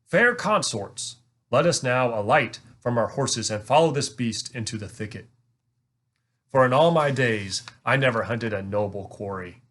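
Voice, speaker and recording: A noble high king from a storybook, acted out by a man reading aloud, bold and resolute. He speaks in formal, old-fashioned courtly phrases with stately confidence. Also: animated, a little slow, a bit bright. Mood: lordly, eager. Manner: formal archaic courtly speech.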